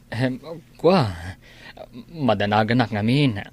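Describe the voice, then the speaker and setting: A man acting in a radio drama as a worried family friend with a halting, hesitant way of talking. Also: medium pitch, a little mellow. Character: hesitant, worried male family friend breaking bad news.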